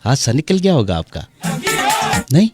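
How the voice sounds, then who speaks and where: Male radio presenter, playful casual patter, mid pitch, music behind. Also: sing-song, mellow, husky. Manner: playful, chatty, breaks into bits of song. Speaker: radio host taking calls and reading messages. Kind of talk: casual, jokey live radio chat. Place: radio studio.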